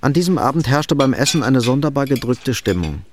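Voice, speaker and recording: Measured male radio play narrator, medium pitch. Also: lively intonation, a little hiss or hum. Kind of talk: scripted literary radio drama narration.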